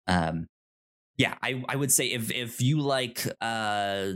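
A man with a mid-pitched voice co-hosts a review podcast. He talks casually and haltingly, pausing as he thinks his way through each point. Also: animated, fairly bright tone. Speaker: podcast co-host.

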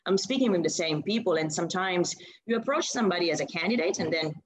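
Guest voice: young Italian woman, English with an Italian accent, low for a woman, chatty, full of fillers and restarts. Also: animated, fast, a little hiss or hum, low-quality recording. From Italy. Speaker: guest, recruitment consultant. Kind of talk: casual interview answers.

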